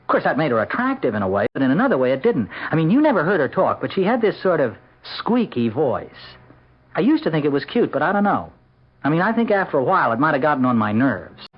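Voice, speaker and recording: An actor playing a naive, bashful middle-aged suitor in a 1970s radio drama, hesitant and boyishly earnest. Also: male voice, on the high side, very animated, quick pace, slightly rough. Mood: chatty, eager. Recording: dull, noisy old radio broadcast.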